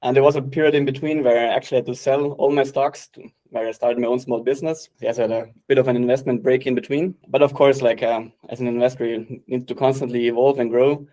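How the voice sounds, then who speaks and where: A man in his late twenties, speaking at a middle pitch as an interview guest. He talks in a loose, relaxed way and fills his pauses with "uh" and "yeah". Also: rough recording. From Austria. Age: late 20s. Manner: relaxed, many fillers like "uh" and "yeah". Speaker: private investor guest. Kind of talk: casual interview answers.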